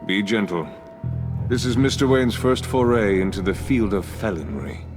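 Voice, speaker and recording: A man's voice: on the low side, animated, normal speed, natural voice. An actor playing a genteel, wordy male villain in a video game, pompous and dryly polite. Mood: smug, courteous. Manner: pompous, florid, alliterative. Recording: game audio under loud music.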